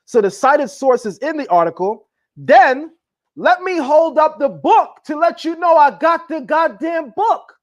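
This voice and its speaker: Emphatic high-voiced male debate host. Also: lively intonation, a little slow. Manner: emphatic, boastful, repeats himself. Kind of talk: heated live debate.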